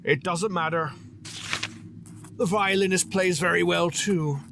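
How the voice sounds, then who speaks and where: A man acting an aloof, commanding pony who rules a theater in an audio drama, speaking in a dry, imperious, measured way. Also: high-pitched, gravelly, background noise. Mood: brusque. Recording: music bed behind the voice.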